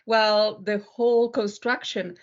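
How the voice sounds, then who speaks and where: A woman's voice: mid-range voice, fairly even intonation, slow, dull audio. A woman hosting a conversational panel discussion and giving her own views. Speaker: interviewer, panel host.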